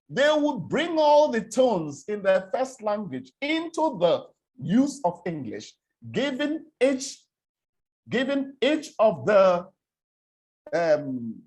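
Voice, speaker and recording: A Nigerian man whose first language is Igbo lectures on English pronunciation. His voice sits slightly high and swings widely in pitch. He talks at an unhurried pace, repeating words as he works through examples. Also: a little mellow. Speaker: presentation coach. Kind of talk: spoken lecture on English diction.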